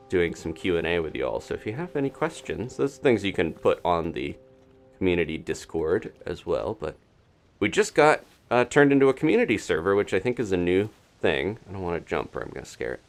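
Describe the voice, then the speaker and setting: A man's voice: deep voice, sing-song, fairly quick. A man doing live gameplay commentary as a streamer, chatting casually and thinking aloud in a rambling way. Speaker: video game streamer.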